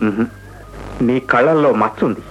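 An actor playing an imperious, poetic young prince in a radio play, speaking in a declamatory manner. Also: male voice, mid-range voice, normal speed, muffled recording, lightly acted. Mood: stern, annoyed.

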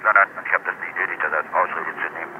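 A man's voice: low voice, steady tone, racing, phone-quality sound. An indignant, insistent man with a cold, who repeats himself as he argues in a heated, rambling conversation as an interviewee. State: has a cold, sniffly. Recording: noisy, band-limited field recording.

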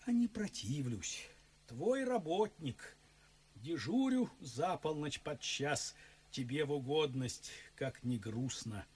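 A weary henpecked husband in a classic radio play. His husky voice rises and falls widely as he grumbles slowly and with resignation. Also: a little high, animated, darkish tone, heavily acted. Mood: glum, resigned.

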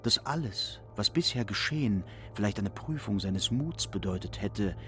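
A man's voice: lowish voice, animated, moderate pace. A man narrating a literary radio play in a scripted style, with music behind his voice.

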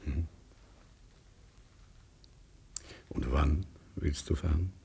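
An actor playing a grave, haunted, devoted husband in a 1950s German radio drama, with emphatic theatrical delivery. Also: male voice, very deep voice, unhurried, gravelly, dark tone, heavily acted. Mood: gentle, troubled. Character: Severin Masur, grave émigré lawyer, haunted devoted husband.

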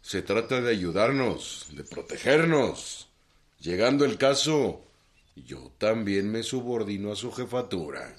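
Regional rebel boss, agreeable, very varied intonation, radio play. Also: male voice, fairly low pitch, big pitch swings, a little slow. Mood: conciliatory. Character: cooperative male regional rebel leader, measured, conciliatory. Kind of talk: radio drama acting.

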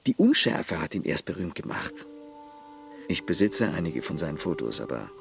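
A man's voice: sits low, sing-song, normal speed, vocal fry, soft background music, full character voice. An actor playing a terse village man who looks after his grandfather, matter-of-fact in a radio play. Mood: storytelling.

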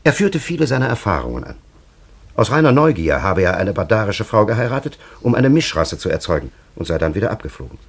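Very deep, husky ancient time traveller. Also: male voice, sing-song, fast, full character voice. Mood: grave, lecturing. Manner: solemn, elaborate, theatrical storytelling. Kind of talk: radio play acting.

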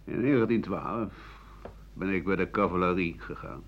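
An actor playing an embittered aging French doctor and war veteran, rambling sardonically through his memories in a radio drama, his voice husky and rough-edged. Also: low voice, moderate pace, a little hiss or hum. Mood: proud, wry. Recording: dull 1980 radio play recording.

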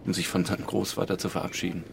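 A dutiful junior policeman in a radio drama. His low voice reports earnestly and factually, with faint ambient noise behind. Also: little pitch movement, very fast, vocal fry. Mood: dutiful, matter-of-fact. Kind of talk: modern German radio drama acting.

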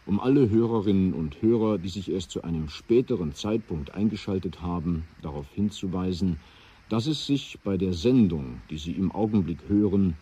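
A man working as a radio announcer, giving a sober, formal, measured scripted announcement. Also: deep voice, warm tone, husky.

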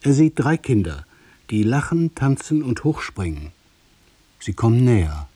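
A male radio play narrator with a dark, slightly husky voice. He reads scripted literary prose very slowly, in short deliberate phrases with pauses. The sound is dry and close. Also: medium pitch, very animated, no echo.

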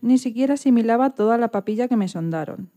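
A woman's voice: fairly high pitch, expressive, fast, warm tone. A woman voicing an ailing female bird in a scripted first-person reading. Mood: wistful. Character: sick female bird recalling her last years.

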